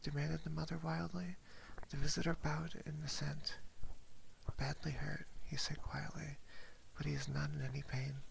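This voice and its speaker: A man reading a story aloud as ASMR in a soft, hushed, unhurried whisper, close to a binaural microphone. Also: a little high, level tone, vocal fry, slight background noise, low-quality recording. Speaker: ASMR streamer.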